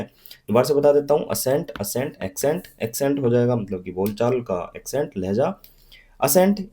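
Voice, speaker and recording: A young man teaching vocabulary in Hindi with a western Uttar Pradesh accent and a slight Haryanvi touch. He explains patiently, repeats his memory tricks and reads English definitions slowly. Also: medium pitch, mellow. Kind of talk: recorded classroom-style lesson.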